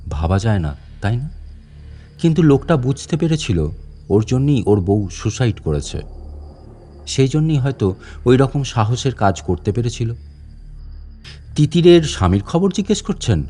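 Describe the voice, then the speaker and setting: A man playing an animated, chatty aging fort guide. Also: mid-range voice. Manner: conversational, insinuating, theatrical with rhetorical questions. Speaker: storyteller. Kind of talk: dramatic first-person audio story narration in Bengali storytelling.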